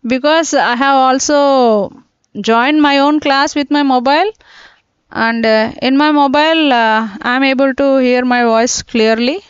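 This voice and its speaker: A woman teaching a live online class, working through each step and often pausing to check that students are following. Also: high voice, steady tone, clear, resonant tone, no room sound. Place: indoors, teaching from a computer. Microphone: laptop mic. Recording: dull lo-fi online class audio.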